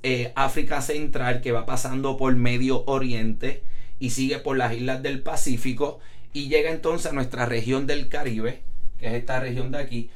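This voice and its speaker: A hoarse man with a Puerto Rican accent, co-hosting a podcast in casual chat and explaining with eager energy. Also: mid-range voice, fairly even intonation, moderate pace. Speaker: podcast co-host; teacher.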